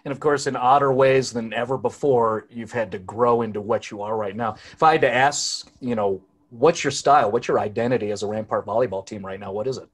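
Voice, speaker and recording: A man interviewing a high school athlete, asking measured follow-up questions. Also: breathy edge. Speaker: sports interviewer.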